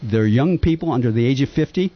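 An older man who was born in Japan, talking earnestly and at length with rambling, impassioned opinions on a radio-style talk show. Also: quick pace. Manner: earnest, rambling, lively pitch swings. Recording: dull lo-fi sound.

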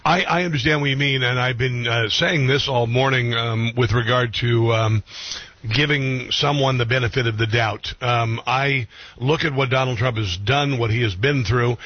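Husky, halting radio host man. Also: medium pitch, fairly even intonation, dry recording, lo-fi sound. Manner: halting, full of ums and restarts. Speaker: radio talk show host. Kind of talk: radio interview.

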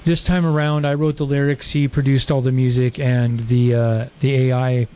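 A man sitting in on a radio talk show, chatting casually and rambling through his points with plenty of fillers. His voice sits at an average pitch, and the recording sounds a little dull and lo-fi. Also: level tone, normal speed. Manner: rambling, lots of ums and likes. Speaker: guest co-host.

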